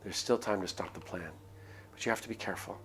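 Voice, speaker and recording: A low-voiced man hosts a game stream, reading the story aloud with a dramatic storyteller's touch and dropping in casual comments. Some noise sits behind his voice. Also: quick pace, vocal fry, fairly bright tone. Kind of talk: live stream playthrough, narrating and commenting.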